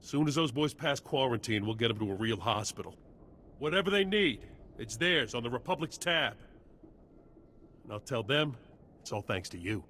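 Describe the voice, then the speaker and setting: A hearty military officer in a video game. His bright, forceful voice is folksy and earnest, over faint background noise. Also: medium pitch, expressive, moderate pace, long pauses. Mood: relieved, caring.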